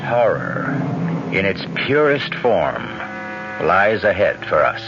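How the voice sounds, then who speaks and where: Slow, ominous male horror radio host. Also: deep voice, sing-song. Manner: dramatic, deliberate. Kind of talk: ominous scripted introduction, 1970s radio. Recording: muffled old radio recording with music.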